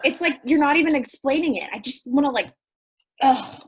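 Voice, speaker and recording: An actress in a staged play reading, playing an eager, dim, impatient recruit who talks in slangy, insistent bursts. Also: female voice, high-pitched, animated, moderate pace. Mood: frustrated.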